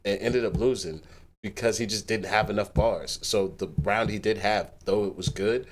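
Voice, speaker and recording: A man hosting a rap battle reaction, talking casually and animatedly with lots of swearing. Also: on the low side. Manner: animated, swearing, pauses clip to comment.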